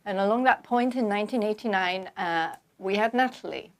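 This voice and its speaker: An older woman from London with a London accent, calmly telling her life story as a guest in an interview. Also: medium pitch. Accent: London English. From London, England. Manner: measured, reflective storytelling. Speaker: guest author. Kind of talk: conversational interview.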